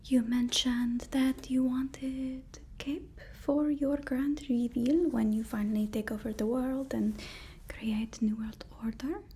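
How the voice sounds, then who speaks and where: A woman whispering an ASMR roleplay as a deferential, fussy personal assistant to a supervillain, speaking haltingly and repeating her phrases. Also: fairly high pitch, fairly even intonation, warm tone, slightly rough. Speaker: ASMR performer.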